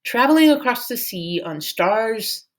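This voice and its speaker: A woman narrating a storybook as an expressive read-aloud. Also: fairly high pitch, unhurried. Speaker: storyteller reading a book aloud.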